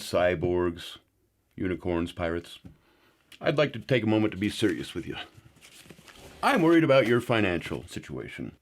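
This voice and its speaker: An actor playing a shady male gold-selling pitchman with a rambling, earnest sales manner.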